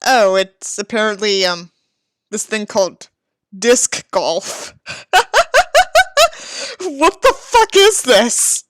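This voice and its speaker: A woman's voice: medium pitch, slow, pauses often, no echo, studio quality. A woman reading a book aloud in a mocking, dramatic way, with incredulous, sweary asides. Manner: dramatic, incredulous, expressive reactions. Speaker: reader and commentator of a badly written book.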